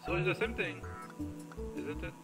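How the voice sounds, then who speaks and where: A man doing casual gameplay commentary, muttering to himself in short fragments. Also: moderate pace. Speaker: gamer playing and commenting. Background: game music.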